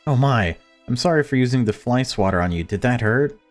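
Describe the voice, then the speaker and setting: A man's voice: mid-range voice, lively intonation, fairly quick, pitched above the performer's own voice, lightly acted. A protective, commanding mother from a horror visual novel, acted out by a man reading aloud. She speaks as the one in charge of her family and expects to be obeyed. Faint music plays underneath. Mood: gentle, doting. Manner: stern, commanding.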